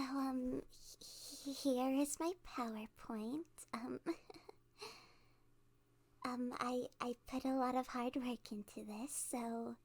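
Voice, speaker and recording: Woman acting a high-pitched, giggly, stammering kidnapper girl. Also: darkish tone, husky, full character voice. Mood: hushed, proud. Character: cute, nervous, giddily obsessive young female kidnapper. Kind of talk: ASMR roleplay monologue.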